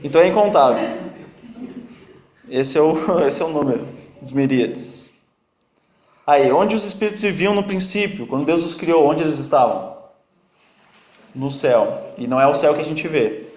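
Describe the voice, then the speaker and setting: A man's voice: fairly high pitch, normal speed, pauses often, a little mellow. Man with a strong foreign accent, joking teacher. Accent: strong non-native accent in Portuguese. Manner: jokey, quizzes and teases students. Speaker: Bible study teacher. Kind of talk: interactive classroom teaching with questions to the group. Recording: echoey room.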